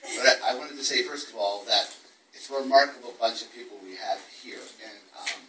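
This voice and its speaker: A man's voice: medium pitch, brisk, background noise. Male poet for a live book-launch reading, wry and wordy, mid-range voice, heard far from the mic in an echoey room. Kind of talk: live poetry reading with informal introductions. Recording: roomy, distant event microphone.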